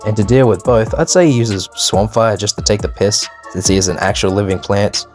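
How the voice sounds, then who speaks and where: A man's voice: lowish voice, brisk, darkish tone. A man delivering scripted but casual, opinionated video commentary, full of informal slang and swearing. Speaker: video narrator and host. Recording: music behind the voice. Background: background music.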